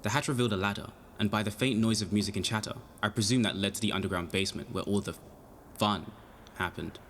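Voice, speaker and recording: A man's voice: fairly low pitch, steady tone, fast talker, slight background noise, close to an everyday voice. A man acting as a nervous, polite young amateur detective who narrates his case in an audio drama. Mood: measured, wry. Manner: hesitant, flustered in dialogue, formal when narrating. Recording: music behind the voice.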